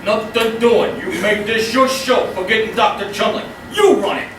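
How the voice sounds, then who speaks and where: An actor playing a tough, brusque, slangy sanitarium orderly in a theatrical comedy. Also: male voice, high voice, normal speed, close to an everyday voice. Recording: distant, very echoey, noisy stage recording.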